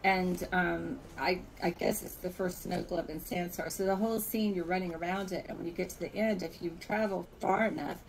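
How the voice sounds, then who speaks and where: Low-voiced American woman, faintly noisy audio. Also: fairly even intonation, moderate pace, few pauses. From California, USA. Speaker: virtual world builder giving a tour. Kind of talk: casual tour chat.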